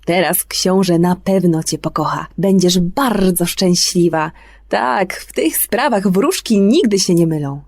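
A woman voicing a kindly, reassuring good fairy godmother for a children's fairy tale, brisk, warm and emphatic. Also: lowish voice. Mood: encouraging, emphatic.

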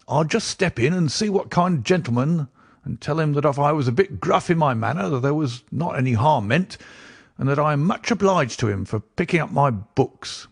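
A man voicing a wizened old book collector with a croaking voice, deferential and rambling in an audiobook story. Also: on the high side, dry recording, studio quality, pitched above the performer's own voice, exaggerated voice. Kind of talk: audiobook character voice.

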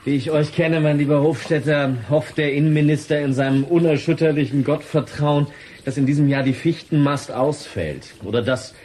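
Actor as sardonic journalist over background ambience. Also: male voice, on the high side, fairly even intonation, normal speed, darkish tone, slight background noise, lightly acted. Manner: sardonic, dry. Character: wry, sceptical journalist man needling an official. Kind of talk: German radio drama dialogue.

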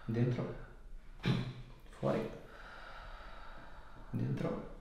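A man's voice: very slow, mellow. A man working as a chiropractor, giving brief instructions and asking short questions during a hands-on exam. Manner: brief, directive, repetitive phrasing. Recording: very echoey room, distant mic, faint noise.

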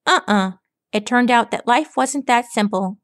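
Brash young pegasus mare, high and lively voice, teasing slangy talk, dry close sound, a woman reading her part. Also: unhurried, no echo. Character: brash, cocky young female pegasus pony.

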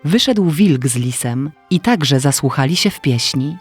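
A man narrating a scripted fairy tale as an expressive, literary storyteller. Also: on the high side, unhurried. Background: faint music.

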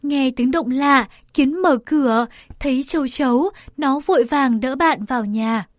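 High-pitched woman reading a children's story. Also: unhurried, slight background noise. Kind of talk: scripted children's story narration.

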